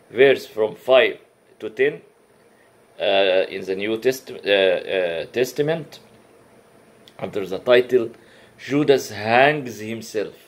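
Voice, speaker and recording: Slow, halting man, Arabic native. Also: lowish voice. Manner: halting, many uh fillers and restarts; careful non-native English. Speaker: religious lecturer. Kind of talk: comparative religion talk.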